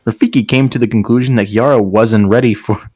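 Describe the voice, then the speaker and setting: A man's voice: mid-range voice, steady tone, fairly quick, darkish tone, no echo, studio quality. A man doing a casual read-aloud for friends, breaking off into joking, sarcastic asides. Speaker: host reading fan fiction aloud with friends.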